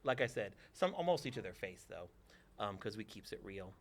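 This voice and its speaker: A man's voice: fairly low pitch, animated, normal speed. A man in his forties from Texas, a theater teacher answering interview questions with dry, teasing humor.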